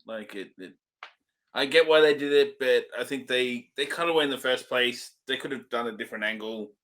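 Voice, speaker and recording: A man's voice: mid-range voice, brisk, fairly bright tone. A man co-hosting a casual film review chat, thinking aloud a little hesitantly. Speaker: podcast co-host and film reviewer.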